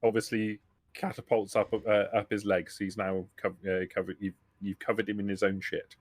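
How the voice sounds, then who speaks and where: Halting male game master, dry sound. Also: on the low side, little pitch movement, dry recording. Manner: halting, repeats and rephrases himself. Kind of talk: improvised tabletop game narration.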